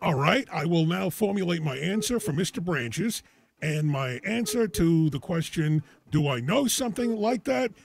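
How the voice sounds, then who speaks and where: Gravelly, joking call-in show host man. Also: fairly high pitch, animated, moderate pace. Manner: joking, wry, laughs easily. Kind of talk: casual call-in banter.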